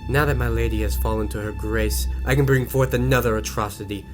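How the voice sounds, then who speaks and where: A man's voice: mid-range voice, fairly even intonation, a little fast, soft background music, close to an everyday voice. Man voicing a menacing, sneering villain duelist. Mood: sinister, gloating. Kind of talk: fan audio drama acting.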